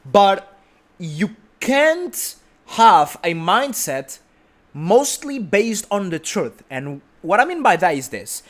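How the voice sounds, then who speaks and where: A young man giving an emphatic motivational talk, repeating his points and often asking "right?". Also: high voice. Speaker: motivational speaker. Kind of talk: motivational monologue.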